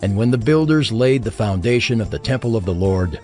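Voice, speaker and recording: Expressive male scripture narrator. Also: fairly low pitch, fairly quick, soft background music. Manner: expressive, solemn reading.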